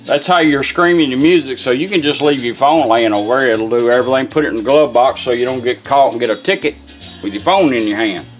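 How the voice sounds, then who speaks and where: A man's voice: medium pitch, fast talker, soft background music. A man giving a casual, informal hands-on product demonstration in a folksy way. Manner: folksy, informal, talks to the gadget.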